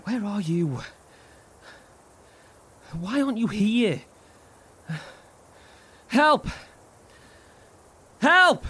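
An actor playing a frightened husband whose hands are overtaken by an alien growth, panicked, stammering and pleading in a horror audio drama. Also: male voice, high voice, big pitch swings, very slow, pauses often, pushed and bright, breathy edge, full character voice. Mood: screaming. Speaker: lead character.

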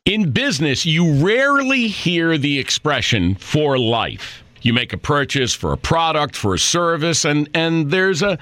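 Male ad read, conversational testimonial, slightly high, dry sound. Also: animated, a little slow, few pauses, pushed and bright, no echo. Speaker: ad spokesman. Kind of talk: podcast ad read.

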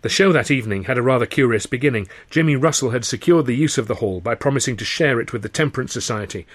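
A man's voice: medium pitch, husky. A man narrating his own comic novel as an audiobook, in a wry storytelling manner. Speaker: author and narrator.

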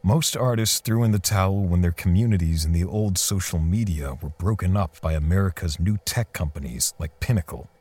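A man playing a bitter, defensive portrait artist in an audio drama, speaking haltingly with sighs and pauses. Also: sits low, darkish tone, breathy edge. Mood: weary, brooding. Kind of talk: audio drama dialogue and first-person narration.

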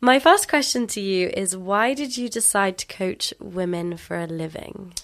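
A woman's voice: mid-range voice, a little slow, a bit bright. A woman hosting a fitness podcast, chatty and friendly, sounding a bit stuffy from a cold. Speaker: podcast host. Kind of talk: casual interview chat.

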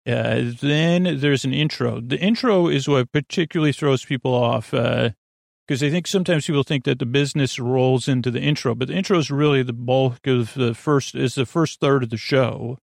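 A man hosting a bedtime podcast in a rambling, halting monologue full of digressions, with a gravelly, creaky voice. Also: medium pitch, no room sound, clean studio sound. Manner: meandering, halting, full of digressions and trailing thoughts. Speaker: podcast host.

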